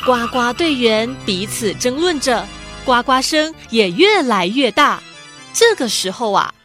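Higher-voiced woman storyteller over music. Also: big pitch swings, measured pace. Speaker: narrator. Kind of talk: scripted storytelling narration for children's radio drama.

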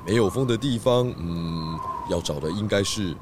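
A man playing a calm, wise pig detective who explains things patiently in a children's audio drama. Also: low voice, moderate pace, noisy background, close to an everyday voice. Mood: patient, reasoning.

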